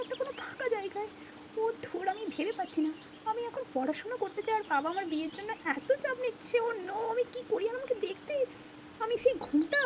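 Woman voicing a very high-pitched fretful young doll heroine. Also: noisy background. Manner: fretful, chatty, code-mixes English exclamations. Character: young village-dressed woman student, reluctant about arranged marriage. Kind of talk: children's doll puppet play. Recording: loud music behind the voice.

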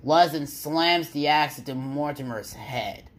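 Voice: mid-range voice, a little slow. A narrator reading a scripted story aloud, stumbling now and then over the words.

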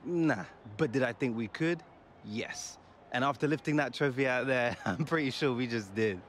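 An actor playing a cocky star footballer in a game, giving slangy, laid-back, boastful interview answers. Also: male voice, mid-range voice, moderate pace, a bit bright, a little hiss or hum, natural voice. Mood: confident, relaxed. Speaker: player being interviewed. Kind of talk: cinematic game acting.

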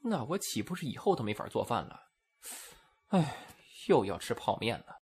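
Lovesick young businessman, very expressive male actor. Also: medium pitch, normal speed, slightly rough, exaggerated voice. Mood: coaxing. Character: lovesick, persistent, sheepish young businessman suitor. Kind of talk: amateur audio drama acting.

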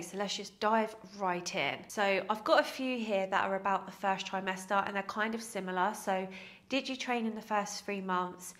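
A woman's voice: medium pitch, a bit bright. A woman in her thirties with a nasal, cold-stuffed voice, chatting to camera in a casual Q&A, laughing at herself often. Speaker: fitness vlogger. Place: small indoor room with plants. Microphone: lapel mic.

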